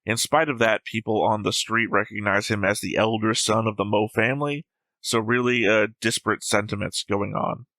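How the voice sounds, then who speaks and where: A man with a bright, forceful, slightly low voice picks apart an episode in casual chat. He reasons things out with jokes and little acted-out quotes, recorded close and dry. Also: fairly even intonation, moderate pace, no echo. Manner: analytical, jokey, acts out quotes. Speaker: reaction show co-host. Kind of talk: casual discussion reading notes.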